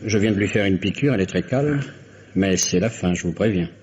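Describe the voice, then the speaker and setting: An actor as a low-voiced, grave doctor. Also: male voice, brisk, darkish tone, natural voice. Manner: sober, clinical. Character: grave, matter-of-fact male hospital doctor. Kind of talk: vintage French radio crime drama acting. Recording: dull lo-fi old radio recording.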